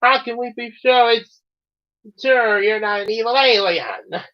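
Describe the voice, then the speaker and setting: A man's voice: very high-pitched, a little slow, pitched above the performer's own voice. A man voicing a brash, matey Australian storyteller in a theatrical reading of fan fiction. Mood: animated. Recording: slightly dull, lo-fi voice recording.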